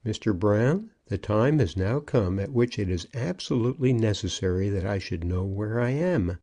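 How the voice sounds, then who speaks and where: A man's voice: fairly low pitch, lively intonation, normal speed, dark tone. A man voicing a pompous, earnest junior business partner, formal and insistent, in an audiobook. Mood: earnest, demanding. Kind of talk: audiobook character acting.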